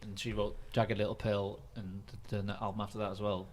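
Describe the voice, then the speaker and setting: A man's voice: fairly low pitch, level tone, fairly quick. Casual male podcast host chatting. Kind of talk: casual chat.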